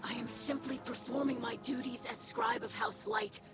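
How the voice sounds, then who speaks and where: A young alien scribe from a video game, proud and stubbornly inquisitive. Her voice is fairly high, with precise, formal phrasing. Loud music runs underneath. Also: expressive, moderate pace, creaky. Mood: proud, firm. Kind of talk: scripted video game dialogue.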